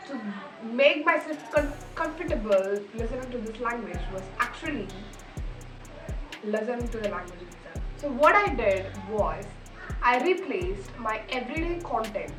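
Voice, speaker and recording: A woman's voice: a little high, animated, a little slow. A young woman with an Indian English accent and Malayalam as her first language, teaching English in an earnest, repetitive way, her voice slightly cracky from a cold. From Kerala, India. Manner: earnest, repeats herself, apologetic. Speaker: English teacher, channel host. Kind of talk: instructional vlog talk. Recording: roomy, distant mic, music underneath.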